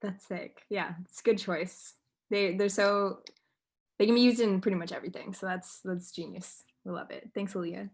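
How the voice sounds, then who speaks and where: A woman's voice: mid-range voice, fairly even intonation, normal speed. A woman leading a casual group check-in over a video call, friendly and hesitant, with frequent ums. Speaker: workshop facilitator.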